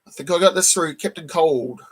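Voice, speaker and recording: Guy with a bit of a high croaky cold voice doing a chill livestream, saying hi to chat, clearing his throat a lot. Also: a little fast. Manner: greets chat viewers one by one, clears throat often. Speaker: live stream host showing comic books. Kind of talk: casual live stream chat.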